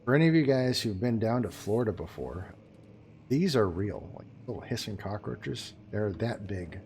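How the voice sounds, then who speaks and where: A man's voice: fairly low pitch, animated, normal speed, a little mellow, a little hiss or hum. A man streaming a game live, chatting casually and joking with his viewers as he plays. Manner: chatty, joking, talks to chat while playing. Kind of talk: casual live gameplay commentary.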